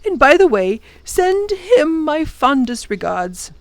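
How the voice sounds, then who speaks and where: A brave, kindly old professor speaks with formal gentleness, as a woman reads him aloud. The voice sits high and moves at an unhurried pace. It sounds close and dry, with no room around it. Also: expressive, no echo, heavily acted. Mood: tender. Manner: gentle and formal, bursting into defiant shouts.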